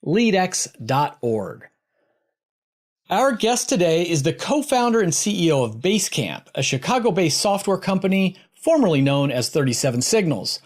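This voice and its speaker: A man's voice: sits high, sing-song, moderate pace. A middle-aged man hosting a podcast, presenting and interviewing in a conversational way. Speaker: podcast host interviewing a guest. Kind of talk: scripted intro and conversational interviewing.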